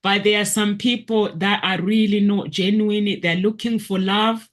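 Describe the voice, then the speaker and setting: A man's voice: high voice, level tone, measured pace, fairly bright tone. A man hosting a conversational discussion, making points emphatically and repeating key words and phrases.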